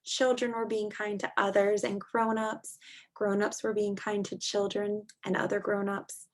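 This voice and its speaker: A woman's voice: medium pitch, level tone, a little slow. A woman teacher reading a picture book aloud to young children, expressively and in simple words, with chatty asides.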